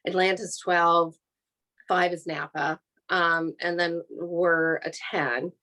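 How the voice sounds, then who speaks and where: A woman's voice: lowish voice, level tone, slow, pushed and bright. A woman with an American accent giving an informal, explanatory career talk as an air traffic controller, in a practical, plain-spoken, conversational way.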